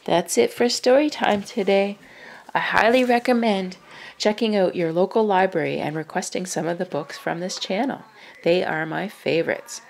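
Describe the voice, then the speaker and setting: A woman reading a children's picture book aloud in an animated, rhythmic storytelling manner. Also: medium pitch, moderate pace, creaky.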